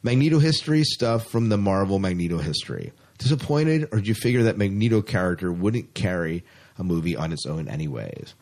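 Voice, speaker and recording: A man's voice: sits low, very animated, fairly quick, darkish tone. A man hosting a podcast, talking casually and chattily about sci-fi news with an enthusiastic, informal manner. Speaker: podcast host.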